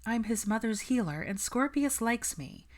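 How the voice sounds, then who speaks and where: A woman voicing a serious young witch healer, guarded and formal as she answers in measured phrases, in a story reading. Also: a little high, sing-song, voice pushed up.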